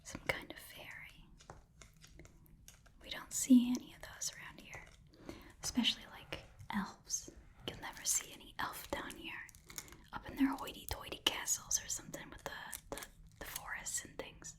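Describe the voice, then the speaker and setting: A woman voicing a chatty, eager goblin pawn-shop keeper in a whispered ASMR fantasy roleplay, rambling and repeating herself. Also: natural voice. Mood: delighted, admiring. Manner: halting, rambling, repeats phrases.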